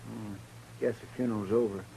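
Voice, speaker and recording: Folksy creaky western deputy. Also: male voice, fairly low pitch, fairly even intonation, fairly quick, warm tone, poor audio. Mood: uneasy, wry. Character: folksy, loyal frontier deputy, deferential to his boss. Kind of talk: 1950s radio western acting. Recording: old radio, faint noise.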